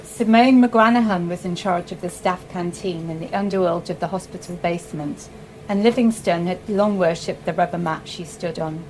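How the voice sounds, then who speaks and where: Low-voiced woman reading a short story. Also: moderate pace, darkish tone, a little hiss or hum, low-quality recording. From South Wales. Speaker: writer reading her own fiction.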